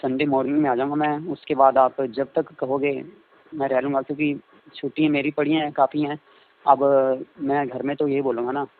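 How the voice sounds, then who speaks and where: A man's voice: medium pitch, lightly acted. Guy acting as a married stepson sweet-talking on a phone call, thin tinny line, hesitant and suggestive, everyday voice.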